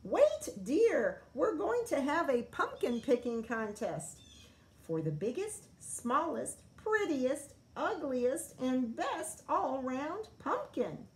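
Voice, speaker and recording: A woman voicing a motherly female storybook animal who calls out to a child in a gently firm sing-song. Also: sits high, sing-song, slow pace, voice pushed up, heavily acted. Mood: calling, instructing. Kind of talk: children's storybook read-aloud.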